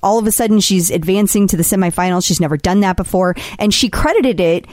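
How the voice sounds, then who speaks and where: A woman's voice: mid-range voice, lively intonation, quick pace, darkish tone, no echo, studio quality. A woman co-hosting a podcast in casual, giggly chat, with a sinus infection. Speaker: podcast co-host.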